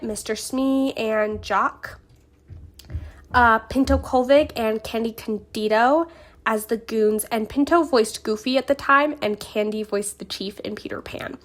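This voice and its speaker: A woman hosting her own video channel, getting over a cold, talking through film history in a chatty, earnest way with small fillers and restarts. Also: mid-range voice. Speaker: YouTube host. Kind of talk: casual vlog narration of film history.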